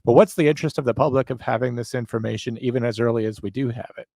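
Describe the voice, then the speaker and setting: A quick-talking man co-hosts an analytical discussion of crime and law. His pitch is average and the sound is dry and close. He speaks conversationally, reasoning things out and posing questions. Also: creaky, a little mellow, no room sound. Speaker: podcast co-host. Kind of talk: conversational legal and police analysis.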